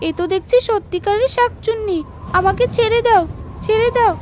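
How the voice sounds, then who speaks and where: A woman's voice: extremely high pitch, brisk, darkish tone, slight background noise, voice pushed up. A woman voicing a naive young bride in a fairy tale cartoon, urgent and pleading in fright. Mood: frightened. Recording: music behind the voice.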